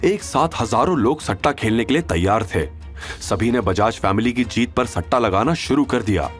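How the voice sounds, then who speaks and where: A male narrator reads a serialized audio drama with a very lively, rising-and-falling delivery. His pitch sits mid-range, and soft music plays faintly underneath. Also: normal speed. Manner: expressive storytelling, does all characters.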